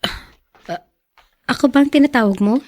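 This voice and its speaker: A woman's voice: high-pitched, normal speed, a little mellow, close to an everyday voice. An actress playing a poor, naive and devoted young woman in a Tagalog radio drama. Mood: worried, confused.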